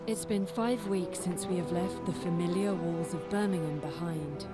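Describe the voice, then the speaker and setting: A weary engineer's journal entry read in a calm, measured, low-pitched voice by a woman. Loud music plays behind her words, as in a game's story narration. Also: natural voice. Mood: solemn. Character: female assistant engineer writing a journal, weary. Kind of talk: scripted game narration.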